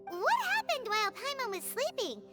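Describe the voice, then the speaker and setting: A woman voicing a tiny, excitable, curious fairy companion with a squeaky childlike voice in an anime video game. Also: normal speed, over loud music. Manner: refers to self in third person.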